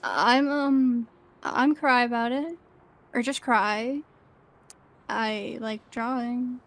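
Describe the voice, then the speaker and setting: A woman voicing a timid, shrinking young boy in an audio drama, hesitant and halting. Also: a little high, fairly even intonation. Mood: shy, nervous.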